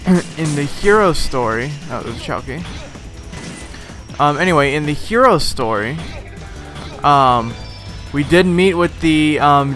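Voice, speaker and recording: Slightly hoarse man commenting on gameplay. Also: mid-range voice, bright, forceful tone. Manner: rambling, many "um" fillers. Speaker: let's play host. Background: game music.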